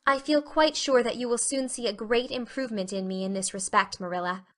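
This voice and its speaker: A spirited teenage girl as a woman reader plays her in an audiobook. Her voice is bright and fairly high, and she speaks with earnest, theatrical emphasis. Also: a little fast, natural voice. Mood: optimistic. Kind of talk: audiobook character acting.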